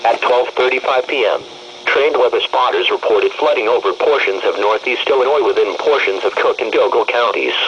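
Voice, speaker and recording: A man's voice: mid-range voice, fairly quick, fairly bright tone. A man's synthetic, formal and impersonal voice reading a scripted weather warning bulletin on the radio. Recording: noisy, muffled weather radio broadcast.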